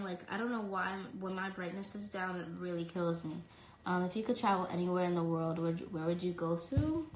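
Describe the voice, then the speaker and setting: A woman's voice: lowish voice, echoey room, a little hiss or hum, rough recording. A young woman from New York chatting casually on a live stream from her phone, reading fan questions aloud and answering with lots of "um" and "like.". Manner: chatty, fillers like um and like. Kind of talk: casual chat.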